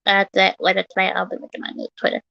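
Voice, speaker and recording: A woman in her thirties, a little hoarse from yelling, talking bluntly and repetitively on a casual livestream. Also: mid-range voice, monotone, racing, no echo, highs cut off. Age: 30s. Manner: repetitive, blunt, swearing, rambling.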